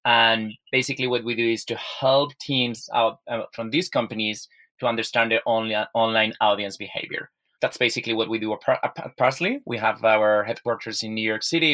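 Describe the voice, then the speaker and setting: A man's voice: on the low side, pushed and bright, rough recording. A man from Spain with a Spanish accent, a podcast guest speaking English, often restarting his sentences. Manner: halting, with repeated restarts. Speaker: guest, software sales executive.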